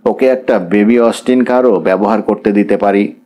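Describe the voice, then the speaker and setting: A man voicing a pragmatic, class-conscious middle-aged father, measured and businesslike, mixing in English words. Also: fairly low pitch, steady tone, dark tone, lightly acted. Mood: pragmatic, negotiating.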